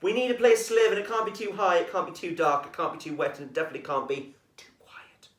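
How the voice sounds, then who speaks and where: A storybook reader voicing a trio of noisy, eager foxes in a high voice that keeps dropping to a whisper. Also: expressive, very fast, natural voice. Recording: roomy echo, voice far from mic.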